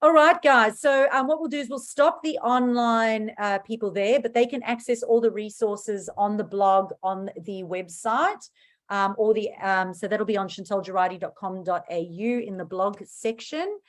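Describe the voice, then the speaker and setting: A woman's voice: medium pitch, fairly even intonation, moderate pace. A woman with a South African accent leading an informal class as a business coach, stressing key points emphatically. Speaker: business coach hosting a book club class. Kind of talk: informal online class talk.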